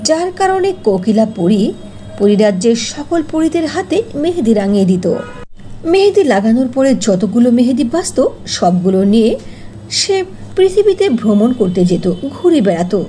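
A woman's voice: a little high, sing-song, normal speed, mellow, faint music behind. A woman narrating a scripted fairy tale as a storyteller. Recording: faint noise under voice-over.

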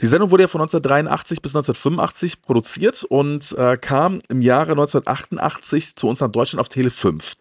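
A man's voice: a little high, fast, few pauses, no echo, clean studio sound. A man in his thirties hosting a show, chatting casually into a handheld microphone. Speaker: host of a retro TV show. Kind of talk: casual chat to viewers and guest. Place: indoors, small room full of media shelves.

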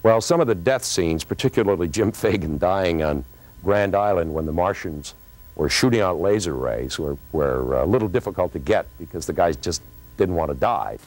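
A man's voice: deep voice, expressive, moderate pace, a little mellow, rough recording. A middle-aged man telling a story to camera in a dramatic way, acting out remembered dialogue. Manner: dramatic, acts out quoted dialogue. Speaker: former radio program director recalling a broadcast. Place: TV studio stage.

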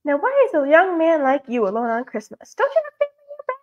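A woman's voice: sits high, wildly swooping pitch, quick pace, highs cut off, voice pushed up. A woman voicing a motherly, hospitable wife who fusses and insists, in a storybook reading. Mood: inviting.

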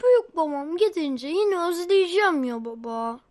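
Young cartoon child, very high voice, eager, devout, inquisitive, excitable. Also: female voice, normal speed. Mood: curious, hopeful. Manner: childlike, excitable, full of questions.

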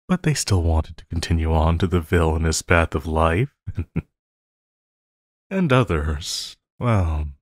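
A man playing an obsessive, lovesick supervillain in an audio roleplay, needy and melodramatic, with halting, stammering delivery. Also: sits low, no echo, studio quality. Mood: calmer, amused. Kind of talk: intimate audio roleplay acting.

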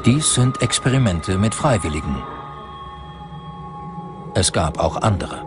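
A man's voice: on the low side, expressive, a little slow. A man reading scripted documentary narration as the narrator. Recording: loud music under the voice. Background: music.

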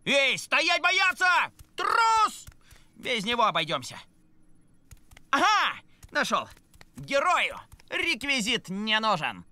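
A woman's voice: sits high, wildly swooping pitch, slow, pushed and bright, no echo, exaggerated voice. Cocky, swooping cartoon boy monster. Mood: bossy. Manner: swaggering, exclaiming, commanding. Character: cocky, boastful little boy monster, bossy and excitable. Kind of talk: children's cartoon voice acting. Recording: music behind the voice.

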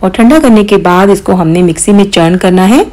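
A woman hosting a cooking channel, calmly explaining each step of a recipe in voice-over, with occasional small hesitations. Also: medium pitch, brisk. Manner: calm step-by-step instruction with mild hesitations.

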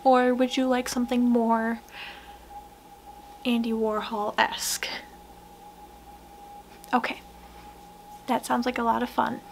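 A young woman doing a soft-spoken ASMR roleplay, hushed and gentle, often slipping into whispers, close to the mic in a small room. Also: fairly high pitch, level tone, slow, creaky, pauses often, slight background noise. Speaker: ASMR roleplayer playing a portrait painter. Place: small wood-panelled room indoors.

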